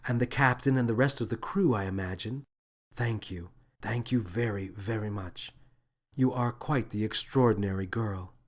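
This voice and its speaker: A man voicing a gentle, wise female alien officer with a soft, soothing, measured delivery in an audio story. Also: fairly low pitch, lightly acted. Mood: gentle, grateful. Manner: calm, measured, thoughtful.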